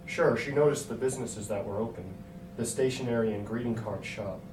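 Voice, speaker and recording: A man's voice: medium pitch, brisk. A man reading a scripted story aloud as its narrator. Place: hotel room. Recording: echoey hotel room, distant mic, noisy.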